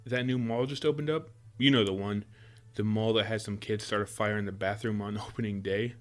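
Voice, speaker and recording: A man's voice: fairly low pitch, steady tone, brisk, lightly acted. A man playing an affectionate, playful boyfriend in a roleplay audio drama, talking in a teasing, coaxing way. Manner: teasing, chuckles often, coaxing. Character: affectionate playful boyfriend spoiling his sweetheart with surprises.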